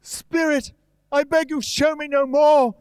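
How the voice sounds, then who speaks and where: Anguished old miser, radio play, very high strained pitch, slow imploring delivery, reverberant church hall. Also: male voice, steady tone, a little mellow. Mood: anguished pleading. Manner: desperate, imploring. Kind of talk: theatrical radio play acting. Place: live stage performance in a church.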